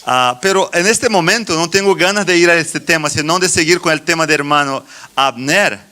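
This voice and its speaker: A middle-aged man with a Brazilian Portuguese accent giving a church conference address in halting phrases with deliberate pauses. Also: on the high side, bright, forceful tone. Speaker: conference speaker, children's ministry teacher.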